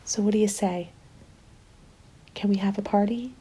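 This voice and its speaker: A woman playing a hopeful survivor of a zombie apocalypse in an ASMR roleplay, soft-spoken and often slipping into whispers. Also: mid-range voice, steady tone, normal speed, slightly rough, close to an everyday voice, low-quality recording. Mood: hopeful, excited. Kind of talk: ASMR roleplay, intimate storytelling. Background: background music.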